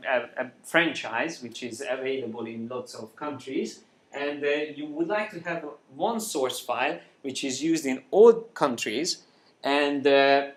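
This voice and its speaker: A man whose native language is Hungarian, teaching a live software class, explaining loosely with many fillers and restarts. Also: mid-range voice. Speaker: software trainer. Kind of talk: live screencast class with an audience.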